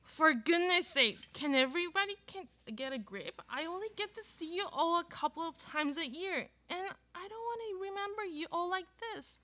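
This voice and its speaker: An exasperated yet polite mother at a family dinner, played by a woman in a radio play. Her voice is high and very bright. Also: animated, moderate pace, few pauses, heavily acted. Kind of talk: amateur live radio drama acting. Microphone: microphone on stand.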